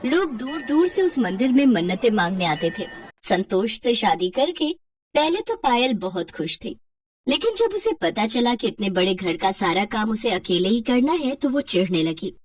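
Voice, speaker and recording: A woman's voice: on the high side, sing-song, normal speed, breathy edge, far from the mic. A woman narrating a scripted story in a dramatic storytelling style.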